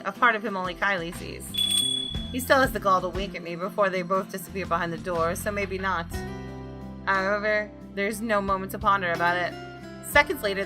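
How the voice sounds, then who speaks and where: A woman hosting a gaming channel, reading visual novel narration aloud and commenting casually. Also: mid-range voice. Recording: music behind the voice.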